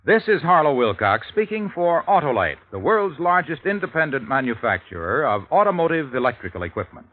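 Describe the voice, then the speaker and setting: Lively American vintage radio pitchman. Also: brisk, hardly any pauses. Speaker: sponsor's commercial announcer. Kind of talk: 1950s radio advertising pitch. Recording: tinny vintage radio recording.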